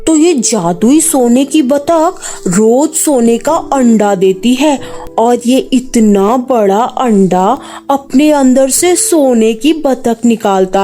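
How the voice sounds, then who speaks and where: Woman playing a high-pitched scheming village mother. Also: warm tone. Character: greedy, scheming village mother, suspicious and nosy. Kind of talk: Hindi cartoon story acting.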